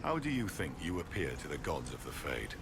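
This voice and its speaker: Solemn oracle shaman for a fantasy game, really low and swooping, ceremonial, noisy ambience. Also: very animated, full character voice. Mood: mystical, grave. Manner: ceremonial, unhurried, oracular. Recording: clear ambient noise behind voice.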